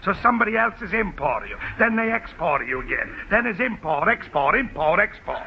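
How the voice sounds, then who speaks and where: A man's voice: high voice, big pitch swings, a little fast. An actor playing a bossy, scheming Italian immigrant man with an Italian accent and broken English, in 1940s radio comedy style. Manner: blustery, bullying, broken English. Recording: dull old radio broadcast with faint hiss.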